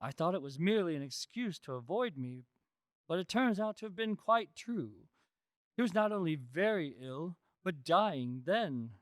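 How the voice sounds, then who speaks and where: Higher-pitched earnest husband acted by a man. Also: lively intonation, moderate pace, dry recording, clean studio sound. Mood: earnest relief. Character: earnest, relieved indebted husband. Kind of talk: radio drama acting.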